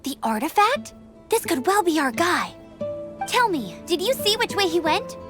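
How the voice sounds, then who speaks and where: Confident young girl scout, high lively voice, game music under it. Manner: brisk, self-assured. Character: eager young female scout, upbeat and confident. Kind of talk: game voice acting. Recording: game audio with music behind.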